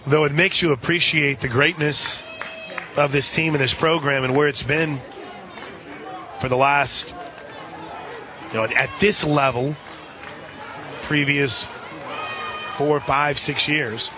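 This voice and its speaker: A man doing live radio play-by-play of softball in a conversational way, as the announcer for the home side. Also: a little high, fairly even intonation, slow pace, long pauses. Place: outdoor softball stadium broadcast. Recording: crowd and music behind. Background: stadium crowd, music.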